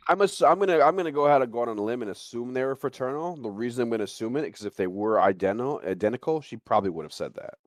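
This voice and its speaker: A man's voice: mid-range voice, lively intonation, very fast, few pauses, no echo. A man hosting a casual podcast, talking in a rambling way and circling back over his phrases. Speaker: podcast host.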